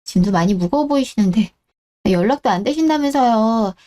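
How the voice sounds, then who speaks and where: A woman's voice: a little high, animated, brisk, mellow, lightly acted. A woman playing a polite, considerate supermarket clerk in a radio drama. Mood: apologetic, helpful. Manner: courteous, considerate. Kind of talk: Korean radio-drama story acting.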